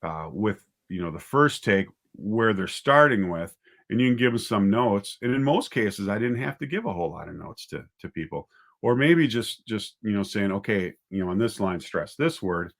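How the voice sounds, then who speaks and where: Male interview guest, writer-director type. Lowish pitch, casual, thinks aloud with some hesitancy. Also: lively intonation, moderate pace.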